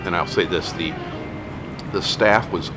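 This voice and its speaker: Deep-voiced older man vlogging from an airport. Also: a little slow, over music. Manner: chatty, explanatory, frequent ums and uhs. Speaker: travel vlog host. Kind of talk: casual travel vlog narration. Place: airport terminal.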